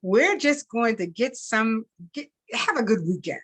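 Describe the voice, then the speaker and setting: A woman voicing a weary father in a story, reassuring his family in a gentle, hesitant, insistent way. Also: a little high, expressive, a little slow, husky, heavily acted. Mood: gently reassuring.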